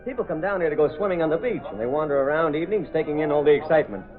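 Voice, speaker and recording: A man's voice: fairly high pitch, very fast, music in the background, close to an everyday voice. An actor playing a breezy, eager American newspaperman in an old-time radio drama, on a tinny vintage recording. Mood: breezy, excited. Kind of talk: 1930s-40s American radio drama acting.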